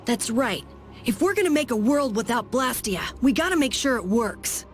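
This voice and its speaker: An actress voicing an eager, anxious young boy adventurer in a video game, plain-spoken and excitable. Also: a little high, moderate pace, faint music behind, lightly acted. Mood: determined.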